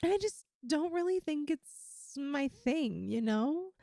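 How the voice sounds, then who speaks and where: A woman's voice: high-pitched, animated, takes it slowly, dark tone, no echo, studio quality, heavily acted. A woman voicing a playful, affectionate young lamia best friend in an intimate, chatty ASMR roleplay. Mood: playful, needy. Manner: teasing, chatty, giggly. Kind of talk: ASMR roleplay, one-sided intimate conversation.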